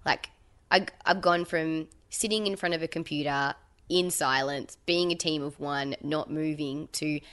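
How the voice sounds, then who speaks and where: A woman co-hosting a podcast, telling a story in casual chat with lively acted-out quotes. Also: low voice, unhurried. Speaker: podcast co-host.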